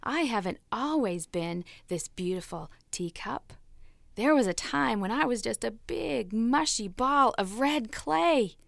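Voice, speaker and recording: A woman's voice: fairly high pitch, animated, measured pace, vocal fry, dry recording, clean studio sound. A woman voicing a fragile, dramatic talking teacup that pleads breathlessly as it tells its story.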